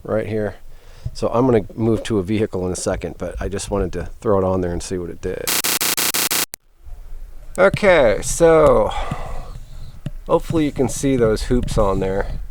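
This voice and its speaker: A man's voice: fairly low pitch, moderate pace, noisy background. A man hosting a gear review, talking casually and thinking aloud as he rambles through a hands-on demonstration. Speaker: host of a radio gear review channel.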